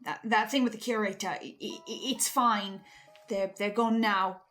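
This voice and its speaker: A woman's voice: medium pitch, fairly even intonation, fairly bright tone, soft background music, natural voice. A woman playing an uneasy archivist hosting a horror broadcast, hesitant and stammering as they confide in listeners. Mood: uneasy, wondering. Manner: hesitant, stammering, slow and reflective. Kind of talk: confessional horror video monologue.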